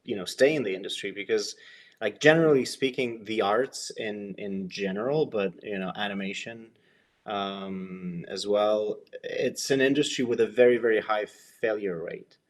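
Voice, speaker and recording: Casting: podcast host, man, lowish voice, musing and meandering. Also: normal speed. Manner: rambling, thoughtful, many fillers. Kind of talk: casual interview intro.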